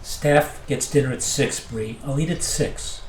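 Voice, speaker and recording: A woman's voice: a bass voice, unhurried, roomy sound, a little hiss or hum, low-quality recording. A woman playing an anxious, rule-following pilot in an audio drama, speaking with earnest reproach. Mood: tense disapproval. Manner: earnest, reproachful, hesitant under pressure. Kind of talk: naturalistic audio drama acting.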